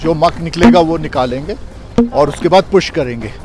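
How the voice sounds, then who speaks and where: A man's voice: on the high side, animated, normal speed, over music. A man giving impromptu answers to reporters, explaining matter-of-factly and repeating himself. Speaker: rescue operation official.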